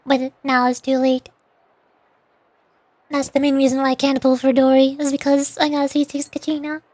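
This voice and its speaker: High-voiced girlish woman streamer. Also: monotone, normal speed, a little mellow, dry recording. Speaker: streamer playing and voicing game lines. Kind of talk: casual livestream chat and commentary.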